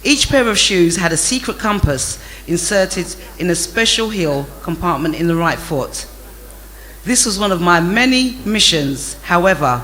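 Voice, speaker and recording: A middle-aged man, reading a childhood memoir in an animated, amused way. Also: on the high side, slight background noise, poor audio. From England.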